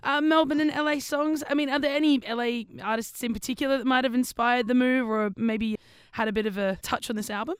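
A woman's voice: sits high, fairly even intonation, fairly quick, clean tone, no room sound, clean studio sound. A woman interviewing a musician, asking reflective, engaged questions.

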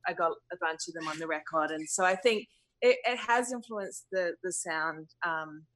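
A musician in her thirties from New Zealand talks through her answers slowly and thoughtfully. She hesitates often and fills the gaps with ums and "you know". Her voice sits fairly low. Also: fairly bright tone, poor audio. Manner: thoughtful, hesitant, many fillers. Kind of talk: interview answers.

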